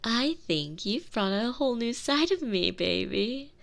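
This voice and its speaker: A woman playing a protective, gently dominant girlfriend in an intimate ASMR roleplay, soothing and doting, often slipping into breathy whispers. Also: a little high, lively intonation, a little slow, full character voice. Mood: teasing, doting.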